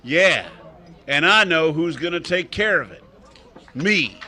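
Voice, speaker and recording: A man acting an angry, stubborn cowhand bent on revenge in a western radio drama, sharp and defiant. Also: on the high side, animated, takes it slowly, exaggerated voice.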